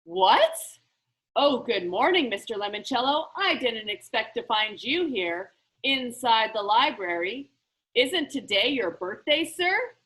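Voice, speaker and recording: Smug schoolboy schemer, done by a woman, mock-innocent, boastful, bright voice, measured pace. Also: on the high side, expressive. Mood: cheerful, flattering. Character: smug, falsely polite scheming boy. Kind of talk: children's book read-aloud.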